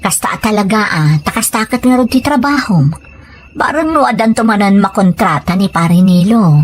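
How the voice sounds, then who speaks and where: A woman with an Ilocano accent playing a gentle, pleading wife and mother in a serial drama, speaking in a plaintive, appealing way. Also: medium pitch, lively intonation, moderate pace, a little hiss or hum, natural voice. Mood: concerned. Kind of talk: radio-style serial drama acting.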